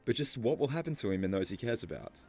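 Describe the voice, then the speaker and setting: A man narrating a scripted story explainer in a measured, musing way, posing rhetorical questions. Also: lowish voice, sing-song. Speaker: video essay narrator. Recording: music under the voice. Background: background music.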